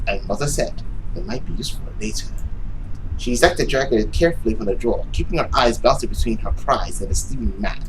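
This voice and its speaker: A man's voice: mid-range voice, noisy background. A young man reading a visual novel aloud as dramatic narration, sometimes tripping over words and rereading them. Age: young adult. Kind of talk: dramatic read-aloud of game text.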